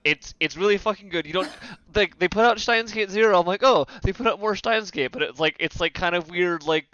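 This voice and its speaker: A man with a bright, forceful and clean voice, pitched a little high, talks as a podcast panelist. He is blunt and sardonic, ranting casually with exasperation. The audio is dry and a bit dull. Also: brisk, clean tone, no room sound. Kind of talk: casual opinionated chat.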